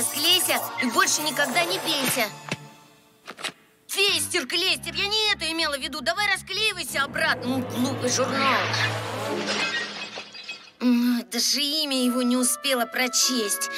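Cheeky, high-voiced young cartoon fairy. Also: female voice. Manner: mocking, offhand, slangy. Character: cheeky, sarcastic young girl fairy of dreams. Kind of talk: animated cartoon acting. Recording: loud music under the voice.

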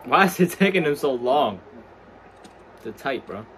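A man's voice: medium pitch, expressive, unhurried, a bit bright, a little hiss or hum. A man casually commenting on a game clip as he reacts to it.

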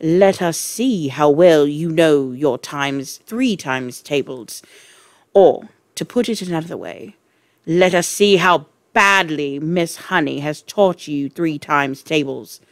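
A woman's voice: low voice, slow, no room sound. Woman narrator as storybook headmistress, enormous bully, furious, barking insults, scornful.

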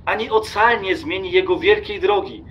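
An actor playing an irritable, contemptuous train passenger in an audio drama, lecturing obsessively and emphatically. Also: male voice, high-pitched, normal speed, lo-fi sound, lightly acted. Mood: impassioned lecturing.

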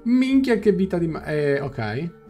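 A young man streaming a game, translating dialogue aloud in a halting, casual reaction. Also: on the high side, very animated, warm tone, soft background music. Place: small room indoors, on webcam.

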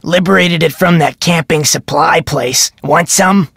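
A voice actor playing a boastful, cocky thief henchman in a video game, smug and relaxed. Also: mid-range voice, measured pace, vocal fry, slightly rough, no echo, clean studio sound, exaggerated voice.

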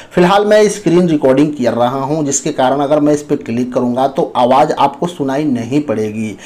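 A man's voice: fairly high pitch, darkish tone. A man hosting an informal step-by-step app tutorial, instructing viewers and addressing them as friends. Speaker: tutorial host.

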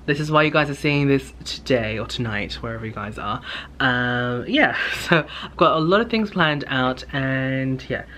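A man's voice: mid-range voice, a bit bright. Casting: young male vlogger, chatty and informal, rambling delivery, recorded at home on a camera mic with background noise. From Hong Kong. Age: young adult. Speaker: vlogger, beauty content creator. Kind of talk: casual chatty vlog to camera. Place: indoors in a home living space. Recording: clear background room noise.

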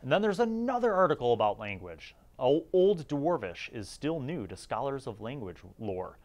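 A man's voice: medium pitch, very animated, normal speed. A man giving a casual, rambling review as a channel host, informal and enthusiastic, thinking aloud.

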